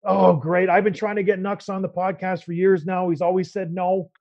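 A man's voice: sits high, flat delivery, moderate pace, a little mellow, no room sound. A man hosting a podcast, chatty and upbeat, often laughing with his guest. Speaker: podcast host. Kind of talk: casual podcast conversation. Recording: dry, slightly dull sound.

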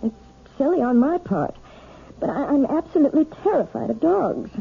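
A prim, nervous piano teacher with a husky, gravelly voice that rises and falls a great deal. Her lines sound tinny and narrow, like an old radio broadcast. The delivery is that of 1970s radio drama. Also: high voice, big pitch swings, moderate pace, exaggerated voice. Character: timid, proper piano teacher wife, woman, adult.

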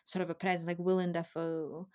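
A woman's voice: lowish voice, level tone, a little fast, dark tone. Woman guest, enthusiastic chat. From Brazil. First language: Portuguese. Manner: thoughtful, enthusiastic, laughs often. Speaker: podcast guest. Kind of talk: casual podcast chat.

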